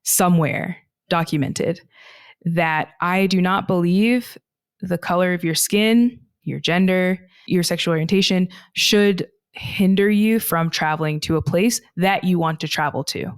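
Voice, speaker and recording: Woman, podcast guest, reflective and sincere, smooth lower voice. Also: dry recording, clean studio sound. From United States (Texas). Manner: earnest, thoughtful, slows down on heavy points. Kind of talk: reflective personal storytelling.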